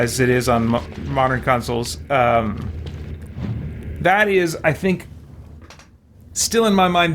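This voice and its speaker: A man's voice: mid-range voice, a bit bright. Casual male game streamer over noisy ambience. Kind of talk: casual livestream chat over gameplay, reading viewer comments.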